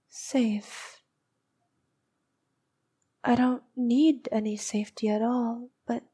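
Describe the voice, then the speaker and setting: A woman playing a noble but needy vampire princess in an intimate ASMR roleplay, speaking softly and often whispering, cooing and possessive. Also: a little high, full character voice. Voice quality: soft, breathy, often dropping to a whisper. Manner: slow, cooing, possessive, coaxing.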